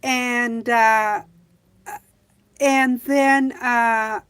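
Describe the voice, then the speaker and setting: A woman hosting a casual livestream who speaks with a calm voice, reading viewer comments and chatting with many hesitations and fillers. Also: a little high, clear, resonant tone. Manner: slow, soft-spoken, many hesitations. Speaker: livestream host.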